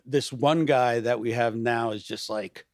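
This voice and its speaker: A pastor and worship leader in casual podcast conversation, animated and restarting his sentences often, peppered with "you know". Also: mid-range voice, unhurried, no room sound, clean studio sound.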